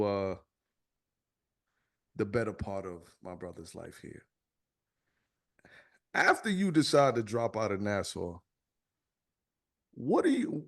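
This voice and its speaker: A man in his thirties hosts a podcast in an easygoing, profane way. He tells stories with lots of slang and gets lively as they build. His voice sits at an ordinary middle pitch. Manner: slangy, profane, animated storytelling. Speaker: podcast host. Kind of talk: casual podcast chat.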